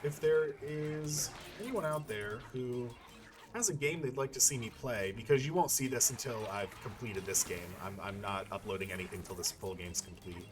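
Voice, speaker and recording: A man's voice: mid-range voice, moderate pace. A man doing casual let's-play commentary, thinking aloud in a rambling, playful and sometimes profane way. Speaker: gaming YouTuber playing and commenting.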